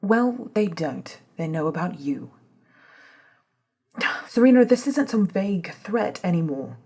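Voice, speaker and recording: A woman acting in an ASMR audio drama as a stern, guarded leader, speaking in a measured, dry, admonishing way. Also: mid-range voice, big pitch swings, mellow, husky, full character voice. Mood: stern, urgent.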